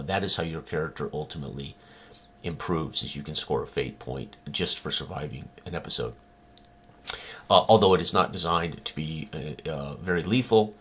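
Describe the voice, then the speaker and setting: A man giving an informal, rambling talk as a tabletop game designer, with a halting delivery and frequent hesitations. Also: fairly bright tone. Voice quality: husky, very deep.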